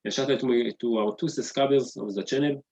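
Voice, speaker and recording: A man in his thirties whose first language is Hebrew, hosting his own video podcast with excitable, repetitive talk that keeps calling out to "guys". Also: medium pitch, fast. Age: 30s. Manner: excitable, repeats words and says "guys" often. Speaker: podcast host. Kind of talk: enthusiastic solo video podcast intro.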